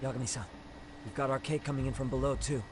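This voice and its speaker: Actor as an alert, loyal sidekick over noisy ambience. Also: male voice, medium pitch, fairly even intonation, fast talker, lightly acted. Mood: alert, eager. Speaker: video game companion character. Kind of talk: English game dub acting. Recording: noisy game ambience behind voice.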